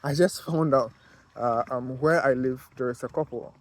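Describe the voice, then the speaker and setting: A man from Ghana with a Ghanaian accent, talking casually to camera in a vlog, halting with many fillers and restarts. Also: mid-range voice. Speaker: vlogger, car detailer.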